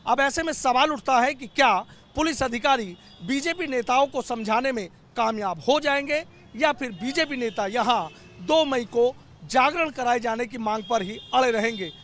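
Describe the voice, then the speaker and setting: Male field reporter with a very high voice, formal read, faint street noise around him. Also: moderate pace, a bit bright. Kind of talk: news report. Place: outdoors by a highway.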